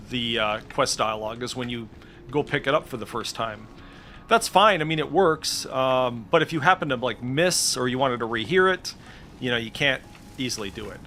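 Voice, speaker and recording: A man chats casually through a game add-on demo. His voice is bright and forward, his manner informal and profane, and some faint noise sits behind him. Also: medium pitch. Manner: informal, swears freely. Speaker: streamer demonstrating game add-ons. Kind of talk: casual screen-share commentary.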